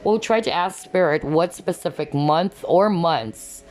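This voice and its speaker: A woman giving an earnest tarot card reading, often asking "okay?" as she talks, and her voice is going hoarse. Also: fairly low pitch, a little slow, a little mellow, a little hiss or hum. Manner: earnest, repeats "okay?" to viewers. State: losing her voice. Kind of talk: pick-a-card reading to camera.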